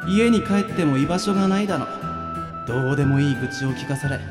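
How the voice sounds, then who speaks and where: A man's voice: on the high side, expressive, quick pace, lightly acted. A man playing a world-weary office worker who narrates his inner thoughts in a radio drama, wry and resigned. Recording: reverberant voice over music.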